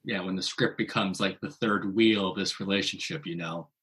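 A man's voice: low voice, steady tone, moderate pace, a bit bright. A man interviewing a guest, attentive and giving short replies while the guest speaks. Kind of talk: interview conversation.

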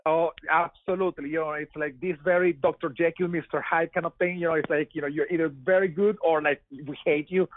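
A man's voice: fairly high pitch, fairly even intonation, a little fast, hardly any pauses. A Venezuelan man in his forties with a Venezuelan Spanish accent, a guest chatting casually in an interview, often repeating words and filling with "you know". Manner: repeats words, many "you know" fillers, laughs easily. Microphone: over a web call. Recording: dry, phone-like web call.

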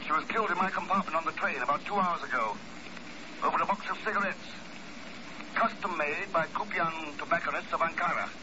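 Suave secret agent played by a man, wry and unruffled, high-pitched for a man, vintage radio, muffled recording. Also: a little fast, noisy background, close to an everyday voice. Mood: cool, wry. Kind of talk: 1950s radio drama acting.

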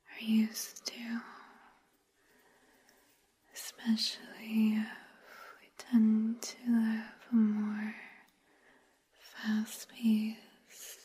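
A woman softly whispering a guided sleep hypnosis in ASMR style, gentle and soothing, leaving long pauses. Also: a little high. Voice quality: soft, breathy whisper.

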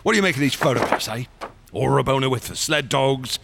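An actor playing an obsessed, boastful explorer in a radio horror drama, theatrical and intense as he swings between hushed awe and eager bursts. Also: medium pitch, animated, a little fast, gravelly, background noise. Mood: taunting, eager. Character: obsessed, boastful explorer man recounting a dark expedition, feverishly excitable.

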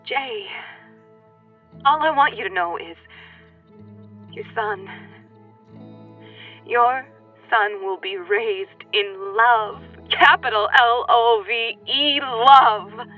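A woman playing a tender, quirky, grieving pregnant young widow in a radio play, speaking intimately and haltingly. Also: fairly high pitch. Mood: tender grief. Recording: slightly dull recording with music behind.

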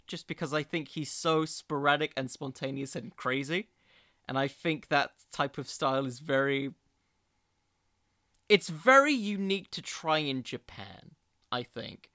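A man's voice: on the high side, sharp tone, clear, resonant tone, no room sound, clean studio sound. A man hosting a solo podcast, giving casual, opinionated commentary in an informal, digressive way. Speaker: podcast host.

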